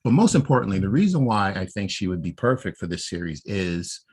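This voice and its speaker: A man's voice: deep voice, sing-song, brisk, a little mellow, slightly rough. A man co-hosting a casual podcast, laying out his fan theories and often checking in with "right?". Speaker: podcast co-host.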